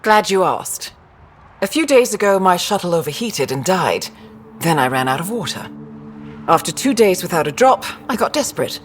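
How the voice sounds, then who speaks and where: An actress playing a stranded field scientist in a video game, with a wry, expressive delivery. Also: female voice, on the low side, moderate pace, slight background noise. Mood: rueful, wry. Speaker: scientist character.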